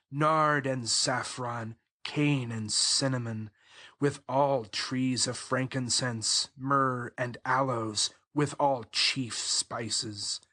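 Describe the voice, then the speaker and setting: Casting: male actor for devoted bridegroom king, scripture drama. Unhurried, solemn, crisp bright tone. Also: mid-range voice, no echo, studio quality. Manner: solemn, measured, archaic poetic phrasing. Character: King Solomon as tender adoring lover praising his bride. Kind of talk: dramatized scripture reading, bedtime poetry.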